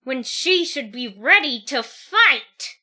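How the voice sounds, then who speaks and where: A woman's voice: sits high, big pitch swings, slow pace, sharp tone, full character voice. A woman playing a mocking, grandiose supervillain in an audio drama. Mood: mocking, menacing. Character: taunting theatrical female supervillain in a stage show.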